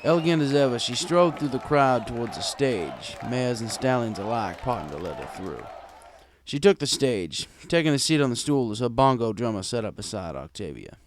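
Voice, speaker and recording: A man's voice: medium pitch, very animated, moderate pace, a faint crowd. A man reading a scripted first-person story as a wry, conversational bartender pony. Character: wry, gruff bartender pony telling his tale. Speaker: story narrator.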